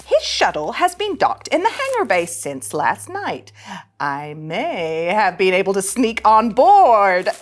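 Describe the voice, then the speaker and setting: A woman voicing a cocky, mischievous young female starship ensign in a scripted animated sci-fi read, flippant and teasing. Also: medium pitch, slow pace. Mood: sly, breezy. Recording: music under the voice.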